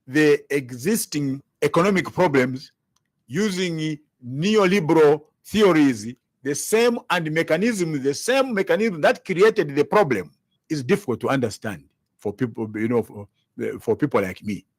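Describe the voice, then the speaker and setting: A man's voice: fairly high pitch, expressive, a little slow, no echo. A man with a Zambian accent gives argumentative political commentary, emphatic, repeating phrases and posing rhetorical questions.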